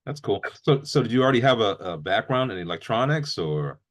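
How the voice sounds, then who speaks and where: A man's voice: mid-range voice, sing-song, brisk. A middle-aged man hosting a casual interview, with an informal, rambling way of talking. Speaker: host, interviewer.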